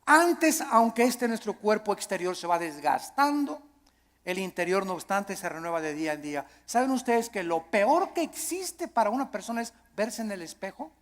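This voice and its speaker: A man's voice: sits high, very animated, normal speed. A man with a Mexican accent delivering a sermon as a preacher, emphatic, with repeated phrases and rhetorical questions. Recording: long hall reverb.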